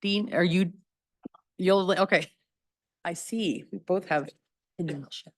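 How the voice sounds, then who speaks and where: A woman chairing a public commission meeting, moving things along in a light, joking, brisk way. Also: on the low side.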